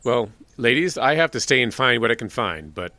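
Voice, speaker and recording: A man's voice: mid-range voice, lively intonation, normal speed, bright, forceful tone. A man playing a dry, guarded small-town sheriff in a radio drama.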